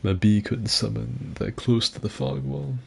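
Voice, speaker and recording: A man streaming and chatting casually, rambling and self-deprecating, with a gruff voice. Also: mid-range voice, fairly even intonation, measured pace. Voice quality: gruff, gravelly. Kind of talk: casual chat with viewers.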